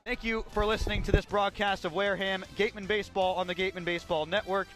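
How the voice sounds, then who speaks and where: A high-pitched man delivers baseball play-by-play in a radio broadcast style. His calls are measured and build in excitement with the action. Faint music sits behind the voice. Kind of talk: radio sports commentary. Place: outdoor ballpark broadcast.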